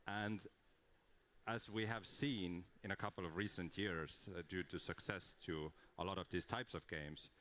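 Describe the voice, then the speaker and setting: Brief: man, conference speaker, very low voice, earnest and explanatory. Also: fairly bright tone. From Estonia. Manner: earnest, explanatory, with frequent fillers and restarts. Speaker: conference speaker, game creative director. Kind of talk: conference talk.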